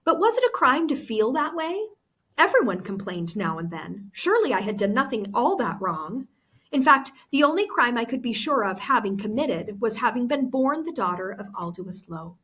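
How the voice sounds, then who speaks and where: Lady teacher reading a book out loud, expressive, kinda high husky voice. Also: moderate pace. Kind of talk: expressive book read-aloud.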